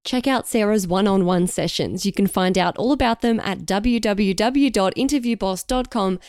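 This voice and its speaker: A woman, hoarse and raspy from a sore throat, co-hosting a casual career podcast. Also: mid-range voice, moderate pace, a little mellow, no room sound, studio quality. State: sick, croaky sore throat. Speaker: podcast co-host, radio journalist.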